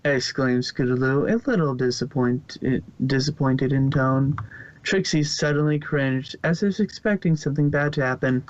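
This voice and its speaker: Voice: medium pitch, steady tone, normal speed. A narrator reading a fan story aloud in a plain way, often stumbling and restarting words. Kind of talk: amateur fanfic reading. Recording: dull lo-fi home recording.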